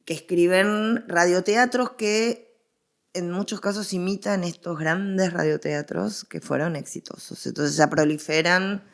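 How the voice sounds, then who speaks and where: A woman's voice: on the low side, steady tone, a little slow, gravelly. A woman with an Argentine accent explaining things as an interviewed expert, emphatic and given to repetition. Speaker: interviewed history and literature expert.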